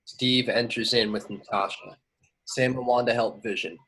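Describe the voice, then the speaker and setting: A man's voice: medium pitch, fairly even intonation, normal speed, bright, forceful tone, breathy edge, rough recording. A man reading scripted action descriptions as narrator at an amateur table read. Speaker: reader of stage directions.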